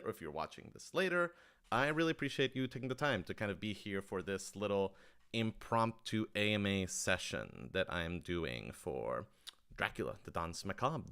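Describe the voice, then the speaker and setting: A man's voice: low voice, very animated, moderate pace, fairly bright tone, clean tone. A man hosting a casual livestream Q&A, talking informally and thinking aloud as he corrects himself. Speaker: podcast writer-director hosting a livestream Q&A.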